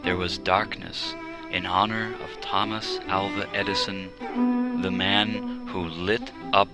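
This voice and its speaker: A man reading a children's biography as scripted narration, with a deliberate storytelling delivery. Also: sits low, level tone. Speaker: audiobook narrator. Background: string quartet music.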